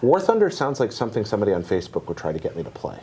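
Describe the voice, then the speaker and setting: A man's voice: on the low side, wildly swooping pitch, very fast, dark tone, slight background noise. A man chatting casually about games as a panelist, with a dry joking manner. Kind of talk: casual video game discussion.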